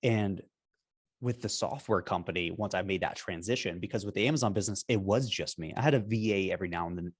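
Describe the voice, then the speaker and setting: A low-voiced man talks as a guest founder in an energetic, emphatic way. He keeps tagging "right?" onto his points as he explains over a video call. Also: fast, slightly rough. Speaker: software company founder, guest. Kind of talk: casual business interview.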